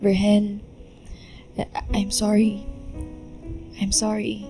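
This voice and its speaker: A woman's voice: mid-range voice, monotone, extremely slow, warm tone, soft background music. A woman playing a remorseful, self-sacrificing young woman, apologetic and earnest, in radio drama dialogue.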